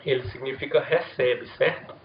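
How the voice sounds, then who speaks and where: A man's voice: mid-range voice, normal speed. A man teaching an introductory programming class, explaining step by step in a casual way and often checking in with "right?". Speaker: programming instructor. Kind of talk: recorded coding lesson with screen demo. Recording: roomy, dull lo-fi sound, faint hiss.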